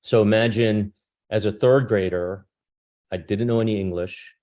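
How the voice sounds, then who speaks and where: A man in his fifties, a native Korean speaker who grew up in France and the United States, giving an informal career talk as a doctor, with halting phrasing. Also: lowish voice, steady tone, a little mellow. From born in South Korea, grew up in France and the United States. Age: 50s. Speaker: guest speaker, critical care doctor.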